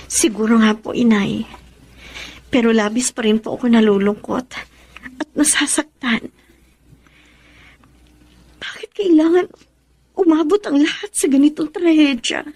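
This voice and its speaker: Casting: betrayed young Filipina for radio drama, very high voice, sorrowful and sincere. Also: female voice, fairly even intonation, measured pace, long pauses, a little mellow.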